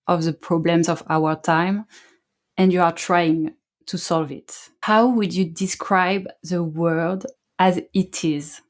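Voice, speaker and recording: A woman hosting an interview podcast, speaking as a presenter introducing and questioning guests. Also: lowish voice. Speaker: podcast host.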